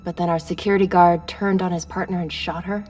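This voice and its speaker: A woman's voice: fairly low pitch, level tone, a little fast, darkish tone, faint music behind, close to an everyday voice. Earnest, direct female space crewmate played by an actress. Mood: probing, concerned. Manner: direct, urgent, questioning. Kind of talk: dramatic video game acting.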